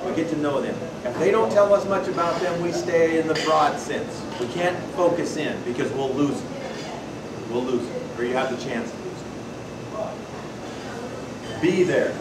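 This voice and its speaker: A man's voice: on the high side, measured pace, long pauses. Folksy man telling stories to a hall. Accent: US Pacific Northwest. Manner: loud folksy storyteller, asks the room questions. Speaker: presenter at a membership workshop. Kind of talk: lively storytelling talk to an audience. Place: meeting hall with a live audience. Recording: distant, echoey room recording with loud noise.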